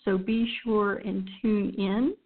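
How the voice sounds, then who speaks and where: A woman's voice: medium pitch, steady tone, takes it slowly. A woman hosting a radio show, speaking casually and off the cuff in an informal, rambling way. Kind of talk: casual unscripted show sign-off. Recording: thin, phone-like line.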